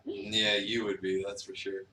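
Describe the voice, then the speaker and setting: A man's voice: fairly low pitch, completely flat delivery, unhurried, sharp tone. A man streaming a visual novel with a co-host, chatting casually between stretches of reading aloud. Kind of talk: casual chat and dramatic reading. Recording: echoey room, distant mic.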